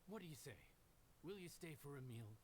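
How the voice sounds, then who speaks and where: A man's voice: a little high, animated, fairly quick, a little mellow, a little hiss or hum, natural voice. A man playing a modest, polite young inn chef in a video game, earnest and hospitable. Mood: grateful.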